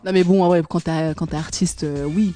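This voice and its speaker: A woman's voice: fairly low pitch, steady tone, normal speed, over music. A woman singer answering interview questions casually, with slang, frequent giggles and many hesitations.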